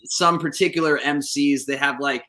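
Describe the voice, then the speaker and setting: A man's voice: a little high, steady tone, moderate pace, bright, forceful tone. A man hosting a casual interview over a video call, asking long, rambling questions with fillers and laughs. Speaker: podcast host. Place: indoor room.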